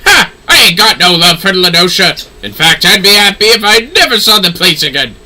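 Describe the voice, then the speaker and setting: Man doing a surly sailor, sharp-voiced. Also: high-pitched, big pitch swings, moderate pace, full character voice. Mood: surly, defensive. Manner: coarse, slangy, grumbling. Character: blunt, surly, hard-drinking sailor turned gunnery instructor. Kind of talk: fantasy game dialogue read aloud.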